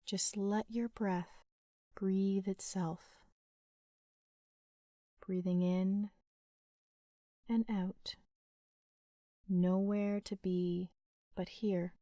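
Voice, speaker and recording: Soft woman doing a sleep meditation, super slow n even, lots of pauses, soothing. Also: medium pitch, no echo, clean studio sound. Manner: gentle, soothing, unhurried, with long pauses. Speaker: meditation guide.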